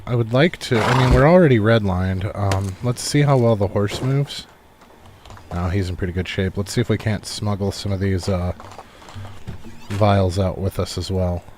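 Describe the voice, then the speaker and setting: Low-voiced man casually streaming a game. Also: moderate pace, a little hiss or hum. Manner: relaxed, rambling, thinks aloud. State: recovering from a cold. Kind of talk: casual live gameplay commentary.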